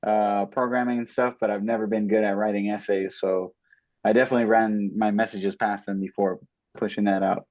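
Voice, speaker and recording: A man's voice: on the low side, little pitch movement, fairly quick, darkish tone, dry recording, highs cut off. A young man, a student guest on a podcast, speaking modestly and hesitantly with lots of fillers. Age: young adult. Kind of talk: casual podcast interview.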